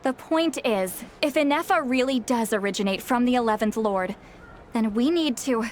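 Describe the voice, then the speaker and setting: A woman's voice: a little high, expressive, moderate pace, a little hiss or hum, close to an everyday voice. A woman playing a shrewd self-styled granny in a video game, wry and guarded yet caring, with a dry, world-weary manner. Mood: uneasy, puzzled.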